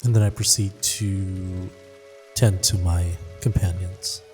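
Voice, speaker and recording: A man narrating his tabletop character's story dramatically, deliberately broken into short phrases. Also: sits low, fairly even intonation. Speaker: player in a tabletop game podcast. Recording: long reverb under music.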